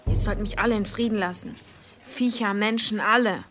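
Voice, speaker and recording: An actress playing a troubled, sullen young farm daughter in a German audio drama, reluctant and fretful. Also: female voice, mid-range voice, steady tone, normal speed, music in the background, close to an everyday voice. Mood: bitter.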